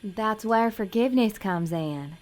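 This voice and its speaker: A woman playing an innocent, earnest small-town pageant girl in an audio drama, self-doubting and gentle. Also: mid-range voice, expressive, unhurried, natural voice. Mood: earnest, consoling.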